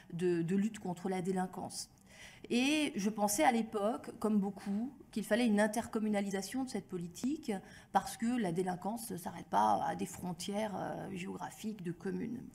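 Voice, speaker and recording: A woman speaking as an expert witness at a hearing, in a formal, measured and articulate way as she builds an argument. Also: mid-range voice, warm tone. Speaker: academic expert giving testimony at a hearing. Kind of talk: formal prepared address with spontaneous phrasing.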